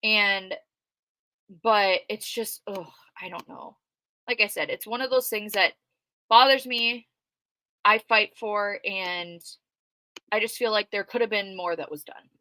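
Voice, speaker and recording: A loud, outspoken woman talking through a livestream in a casual, conversational way and answering her chat as she goes. Her voice is bright and forceful, and it sits close and dry with no room sound around it. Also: mid-range voice, measured pace, long pauses, no room sound. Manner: conversational with frequent fillers. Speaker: true crime commentator reading live chat.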